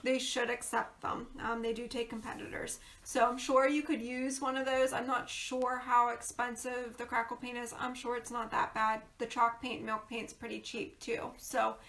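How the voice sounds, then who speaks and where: A woman's voice: on the high side, flat delivery, normal speed, gravelly, hardly any pauses, fairly bright tone. A woman who is losing her voice, chatting casually and rambling with lots of ums and laughs while hosting a crafting video. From Pennsylvania, USA. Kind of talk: casual crafting livestream chat.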